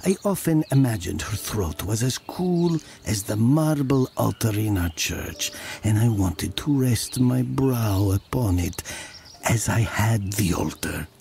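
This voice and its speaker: An actor playing a wistful ghostly man, telling of his village boyhood in measured audio drama narration. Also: on the low side, expressive, gravelly, warm tone, slight background noise. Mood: longing. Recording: music under the voice. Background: music.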